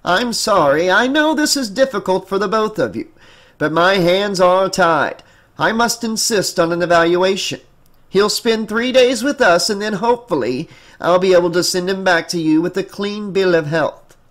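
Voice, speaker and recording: Guy doing a male hospital shrink in an audiobook, formal, measured, insistent but apologetic, slightly high voice. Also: expressive, fairly bright tone. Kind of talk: audiobook character acting.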